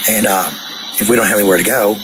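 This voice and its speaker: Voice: deep voice, steady tone, quick pace, heavy background noise. A person ranting casually in a blunt, coarse, profane way, heard on a played-back voice clip.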